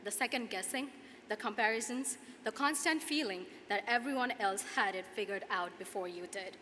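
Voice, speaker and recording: Bright-voiced young Nepali-accented woman giving a speech. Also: medium pitch, moderate pace. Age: 20s. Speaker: student commencement speaker. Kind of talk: prepared commencement speech. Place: large hall at a podium, audience ambience. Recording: echoey hall PA, clear background noise.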